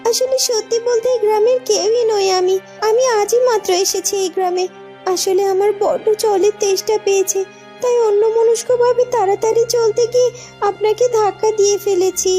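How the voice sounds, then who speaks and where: A woman's voice: very high voice, fairly even intonation, normal speed, dark tone, soft background music. A woman voicing a weary, determined young woman in a fairy-tale cartoon, earnest and pleading. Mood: apologetic, earnest.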